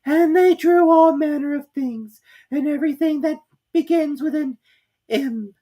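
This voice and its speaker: A woman voicing a sleepy, sulky male dormouse in a storybook, with a squeaky, drawn-out delivery. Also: mellow. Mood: drowsy, rambling.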